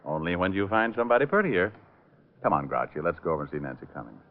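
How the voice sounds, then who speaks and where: An actor playing a cocky, glib private detective who teases and narrates wryly, in 1940s radio drama style. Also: male voice, low-pitched, sing-song, quick pace. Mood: teasing, wry. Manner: glib, wry, teasing. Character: wisecracking cocky male private detective, breezy and flirtatious. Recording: muffled old radio drama, music behind.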